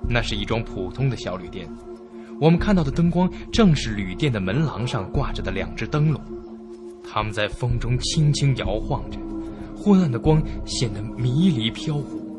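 A man's voice: mid-range voice, expressive, moderate pace, over music, lightly acted. A man playing a young traveller who narrates a suspenseful radio drama. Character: young male traveller recounting an eerie trip. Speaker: narrator and character. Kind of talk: scripted suspense story narration.

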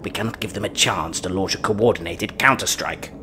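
A man playing a stern, ruthless warlord prince in a science fiction audio drama, speaking in an imperious, deliberate way. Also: deep voice, noisy background, natural voice. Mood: commanding.

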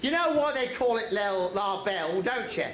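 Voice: medium pitch, animated, normal speed, fairly bright tone, close to an everyday voice. An actor playing a bustling, bawdy pantomime mother on stage, exclaiming and gossiping in a noisy, echoey hall. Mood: flustered, saucy. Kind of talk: broad amateur stage pantomime comedy. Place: stage in a hall, recorded from audience. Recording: noisy echoey theatre hall camcorder recording.

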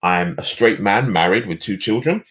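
A middle-aged man with a deep, resonant voice, talking emphatically as a guest on a video call from a home office. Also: normal speed. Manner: animated, emphatic storyteller. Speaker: guest, leadership coach.

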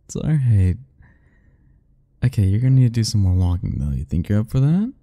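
A man voicing a doting, possessive yandere admirer in soft, husky, intimate murmurs for an ASMR romance roleplay. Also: fairly low pitch, very animated, no room sound, clean studio sound. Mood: tender, coaxing. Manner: slow, intimate murmuring, coaxing and self-talking.

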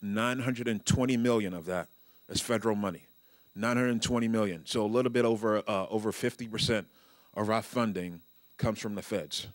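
A middle-aged man with a fairly low voice speaks to a seated crowd in a conference room. He talks loosely, full of ums, restarts and small jokes, into a handheld mic. The sound is dry and close. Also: fairly even intonation, normal speed, dry recording. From Nigeria. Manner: conversational, lots of fillers and restarts, joking asides. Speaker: keynote speaker, state transportation official. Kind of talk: conference keynote speech. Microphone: handheld microphone.

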